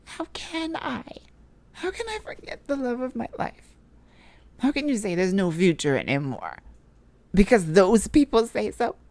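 A woman playing a tender, heartbroken but resolute mage in an emotional audio roleplay, speaking intimately and haltingly with a pleading manner. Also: mid-range voice, very animated, darkish tone, no echo. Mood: anguished, disbelieving.

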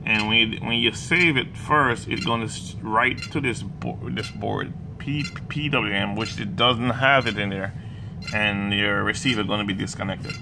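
A man with a Jamaican accent, talking through drone setup software in a hesitant way, full of fillers and restarts. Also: fairly low pitch, steady tone, moderate pace, creaky, background noise. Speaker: hobbyist demonstrating drone software. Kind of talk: casual screen walkthrough. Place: indoors, room with a laptop.

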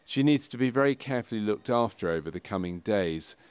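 A man narrating a medical training film from a script, in a measured, clinical and factual way. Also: on the low side, animated, slight background noise. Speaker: documentary narrator.

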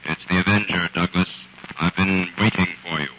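An actor playing a calm, menacing avenger with a measured, accusing manner in 1940s radio drama style. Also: male voice, low voice, a little hiss or hum, natural voice. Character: menacing, invisible masked avenger, calm commanding man. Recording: muffled 1940s radio recording, loud background din.

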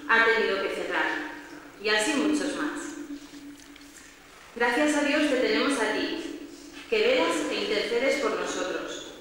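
A middle-aged woman reading a prayer at a church lectern in an earnest, measured way. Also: on the high side, sharp tone, a little hiss or hum. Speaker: lay reader. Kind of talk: read prayer at mass. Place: large church, indoors. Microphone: lectern mic, far camera. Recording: echoey church from far off.

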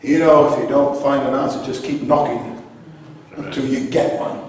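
A middle-aged man from New Zealand who grew up in Iceland, preaching a sermon with passion, at times rising to shouts. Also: medium pitch, fairly even intonation, normal speed. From New Zealand, raised in Iceland. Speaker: pastor. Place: large church hall with high ceiling. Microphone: handheld mic, heard from a distance in the room. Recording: echoey hall from far off.

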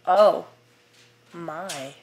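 A young woman with a raspy, hoarse voice, just getting over a sore throat, chatting excitedly through a let's-play of a game she has never played. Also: on the low side, drawn-out words. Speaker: gaming YouTuber. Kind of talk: casual let's-play commentary.

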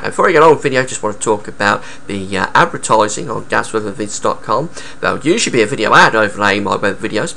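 A young man with a croaky voice and a sore throat, explaining weather charts with many halting "uh" fillers into a webcam in a small room at home. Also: lowish voice, bright, forceful tone, a little hiss or hum. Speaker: weather forecaster.

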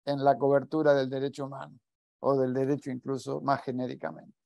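A man in his sixties giving measured, reflective closing remarks on a video call through a headset, letting his phrases trail off softly. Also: mid-range voice, monotone, gravelly, no room sound. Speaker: academic network coordinator. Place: small indoor room at home or office.

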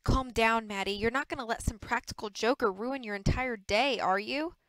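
A woman voicing a brisk, commanding male TV director with a booming manner in an audiobook. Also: a bit bright, no echo.

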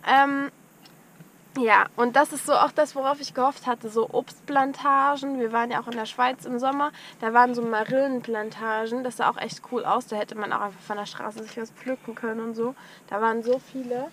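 A woman's voice: a little high, steady tone, moderate pace, a bit bright. A young woman vlogging casually from inside a van on a road trip, rambling with many fillers. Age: young adult. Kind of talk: casual travel vlog narration. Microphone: action camera on the dashboard. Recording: dull lo-fi camera audio with road noise.

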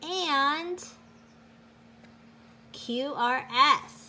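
Very slow-paced woman reading a picture book aloud. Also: high voice, sharp tone, a little hiss or hum. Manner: slow, deliberate, sing-song with emphatic exclamations. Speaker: teacher reading aloud to children.

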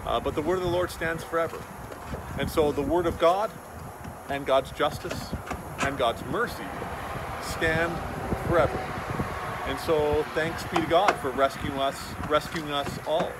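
A man in his forties giving an informal, reflective devotional message to camera like a pastor, in a halting, earnest way. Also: a little high, fairly even intonation. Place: outdoors beside a road and small wooden chapel. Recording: outdoor recording with loud vehicle noise. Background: loud outdoor ambience, passing vehicles.

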